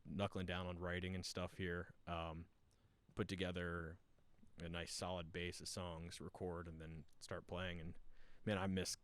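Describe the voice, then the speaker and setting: A man's voice: low voice, unhurried. A man who plays in a band, chatting casually as a podcast guest and rambling loosely with lots of fillers. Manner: relaxed, rambling with many fillers. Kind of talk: casual chat.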